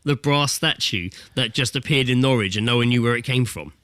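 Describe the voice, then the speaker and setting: A man's voice: medium pitch, a little fast, sharp tone, no room sound, clean studio sound. A British man co-hosting a comedy podcast in casual, sweary, jokey chat. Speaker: podcast host. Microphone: remote internet call recording.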